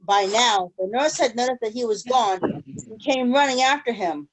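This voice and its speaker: A woman with a fairly high voice reads a children's storybook aloud. Her delivery is expressive, shaped for young listeners. The sound is a video call recording with faint noise. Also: normal speed. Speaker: children's story-time reader. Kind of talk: reading a picture book aloud to children.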